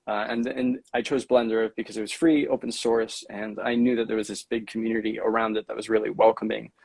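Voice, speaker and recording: Young man, from Venezuela, low voice, gravelly, casual livestream chat, measured. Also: no echo. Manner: relaxed, measured storytelling with many fillers. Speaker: guest artist.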